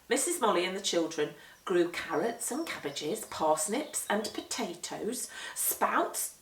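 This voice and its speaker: A woman reading a children's picture book aloud, with deliberate, animated and emphatic storytelling. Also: medium pitch. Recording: roomy echo, distant microphone.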